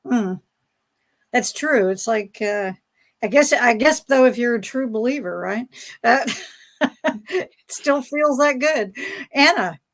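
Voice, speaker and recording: A woman's voice: fairly high pitch, animated, takes it slowly, pauses often, a bit bright. Woman moderating a fan panel.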